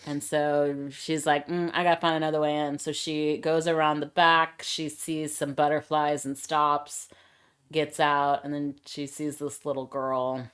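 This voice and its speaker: A woman's voice: low voice, a little slow, smooth. A woman co-hosting a podcast, casually retelling a film's plot and acting out the characters' lines as she goes. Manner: retells scenes with lively quoted lines. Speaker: podcast co-host. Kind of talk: casual chat recapping a film.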